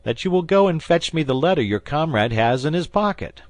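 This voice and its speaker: A man's voice: fairly high pitch, animated, brisk, no echo, voice pushed up, natural voice. A man voicing a fierce young guardsman who questions and threatens a wounded enemy. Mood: wry. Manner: commanding, stern.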